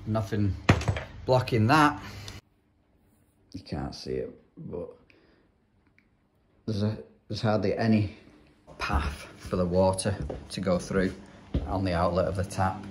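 A man with a low, deep voice talks through a plumbing job as he does it, matter-of-fact and practical. He records on a phone up close, with tool clicks and background noise around him. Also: a little slow. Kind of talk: working vlog narration. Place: customer's home and inside his van.

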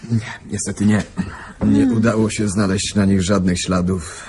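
An actor playing a dutiful junior detective with dry humour in a radio play, polite and matter-of-fact. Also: male voice, fairly low pitch, little pitch movement, moderate pace, background noise, natural voice. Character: dutiful, dryly joking male junior detective.

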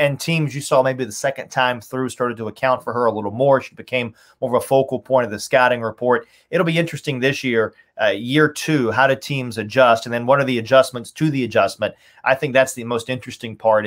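A man's voice: medium pitch, a bit bright. A man in his thirties talking sports enthusiastically as a podcast guest, repeating phrases for emphasis. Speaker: radio play-by-play announcer as podcast guest. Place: small furnished room at home, on a video call.

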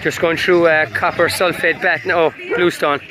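A man's voice: fairly high pitch, flat delivery, normal speed. An Irish man, a sheep farmer, chatting casually while he herds sheep on a hillside and calls to his dogs. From Ireland. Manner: calls sharply to the dogs between chatty asides. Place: open mountain hillside outdoors.

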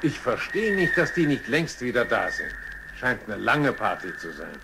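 An actor playing an impatient, suspicious animal companion, urgent and distrustful, in a children's audio drama. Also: male voice, medium pitch, sing-song, moderate pace, gravelly. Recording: noisy ambience behind the dialogue.

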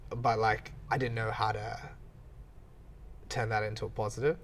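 Gravelly-voiced young man. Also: on the low side, level tone, slight background noise. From Australia. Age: 20s. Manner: candid, quick to laugh at himself. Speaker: podcast guest, gym owner. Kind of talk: casual podcast chat.

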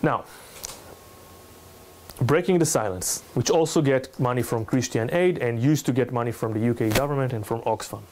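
A man in his thirties presents a talk with an Israeli accent and a mid-range voice. His English is non-native and halting, and he presses his points with emphasis. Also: mid-range voice, a little mellow, a little hiss or hum. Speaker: lecturer, NGO researcher. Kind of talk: public lecture with slides. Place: indoor salon room, speaking to a small audience.

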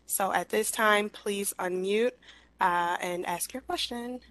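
A woman moderating a formal online clinical session in a polite, deliberate way, speaking into a headset. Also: mid-range voice, a bit bright. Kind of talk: formal meeting facilitation. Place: indoor room on a video call.

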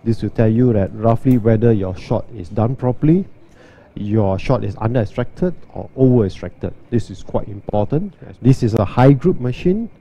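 A man, giving a steady, step-by-step walkthrough and demo of an espresso machine. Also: mid-range voice, normal speed, lo-fi sound. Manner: steady, step-by-step instructional delivery. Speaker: barista demonstrating an espresso machine.

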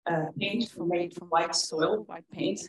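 An earnest young woman from the Netherlands presents her project at a conference, with a fairly low voice. She pauses often with "um" and "uh". An audience is clearly heard in the hall around her. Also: crowd noise. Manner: earnest, with frequent fillers. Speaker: conference speaker. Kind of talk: presentation talk.

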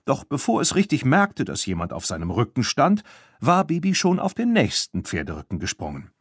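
Male children's audiobook narrator, animated and expressive, dry studio-like sound. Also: mid-range voice, slightly rough, no room sound. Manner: animated storytelling, acting out dialogue. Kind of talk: scripted narration of a children's story.

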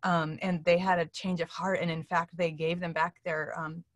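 A woman's voice: lowish voice, flat delivery, moderate pace, no room sound, clean studio sound. A middle-aged woman, an interview guest, telling stories earnestly and acting out what people said. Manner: earnest, acts out quoted speech while telling stories. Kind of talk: animated storytelling in a casual interview. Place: indoor room, seated on a couch on a video call.

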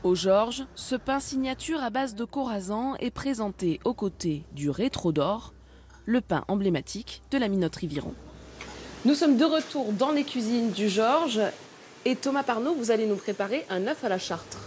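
A woman's voice: fairly high pitch, animated, normal speed, a little mellow, slight background noise. A woman, presenting a regional TV magazine as a reporter.

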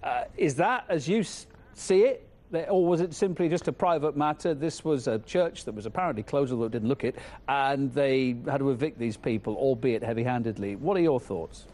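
A man's voice: medium pitch, fairly quick, darkish tone. A man anchoring a live news broadcast, questioning a guest in a conversational way.

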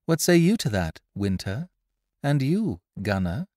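A man's voice: mid-range voice, big pitch swings, measured pace, darkish tone, no echo, clean studio sound, voice pushed up. A man voicing a cool, blunt, commanding young woman in a seafaring storybook. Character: commanding, level-headed young woman aboard a mutinous ship. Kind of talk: audiobook character voice, period seafaring speech.

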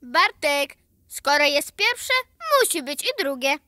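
A woman playing a curious, chatty little granddaughter in a children's radio play, eager and excitable. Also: high voice, slow, no echo, studio quality, full character voice.

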